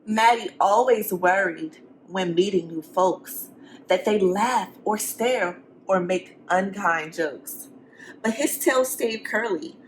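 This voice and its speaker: A woman's voice: mid-range voice, slight background noise, poor audio. A woman teacher reading a storybook aloud to young students, expressively, with simple encouraging questions and asides for the children.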